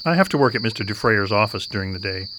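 A man playing an earnest, formally polite Victorian gentleman in a radio drama. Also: on the low side, expressive, quick pace, lightly acted. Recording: noisy night ambience with crickets.

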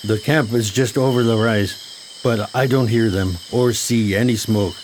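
A man plays a battered old island guide in a pirate radio play. His voice is on the low side, weary and earnest, against clear noise. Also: fairly even intonation, a little slow, lightly acted. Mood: guarded. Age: older. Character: beaten old male Carib island guide. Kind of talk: pirate radio drama acting.

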